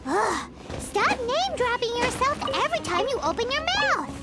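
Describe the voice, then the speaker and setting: Tiny impatient fairy sidekick, very high and squeaky, snappy, lively swoops in pitch. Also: female voice, normal speed, roomy sound, over loud music, heavily acted. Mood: exasperated. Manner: exasperated, sharp-tongued. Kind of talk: game voice acting.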